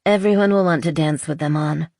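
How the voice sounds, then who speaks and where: A woman's voice: low voice, a little fast, darkish tone, no echo, clean studio sound. Woman reading as a friendly, curious young artist. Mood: upbeat.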